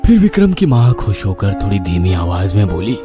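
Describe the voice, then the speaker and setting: A man's voice: on the low side, sing-song, moderate pace. A man narrating a scripted horror story in a dramatic, suspenseful manner. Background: background music.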